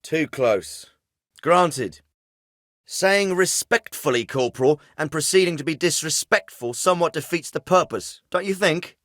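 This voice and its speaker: An actor playing a composed, clipped military officer in a video game, dry and commanding. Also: male voice, fairly high pitch, expressive, a little slow, a bit bright, dry recording. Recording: dry studio recording.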